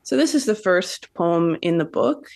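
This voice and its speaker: Woman, lots of fry in her voice, writer being thoughtful and literary. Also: lowish voice, lively intonation, measured pace, a little mellow. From Canada. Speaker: poet and novelist guest. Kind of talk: thoughtful interview talk and poetry reading.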